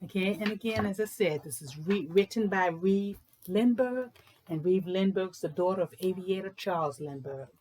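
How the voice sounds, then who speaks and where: A woman presenting and reading children's books aloud in an informal, explanatory way with deliberate, emphatic phrasing. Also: mid-range voice, animated, a little mellow. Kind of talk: informal book talk and storybook read-aloud.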